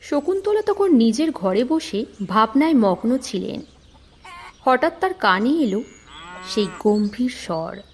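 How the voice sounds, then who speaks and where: Lively, expressive woman storyteller. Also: a little high, measured pace, slight background noise. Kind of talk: scripted audio-story narration.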